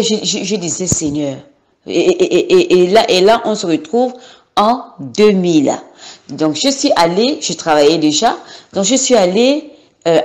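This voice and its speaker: A woman's voice: on the low side, darkish tone. A woman from Gabon in her thirties giving an unscripted personal testimony, hesitant, with many fillers and repeated words.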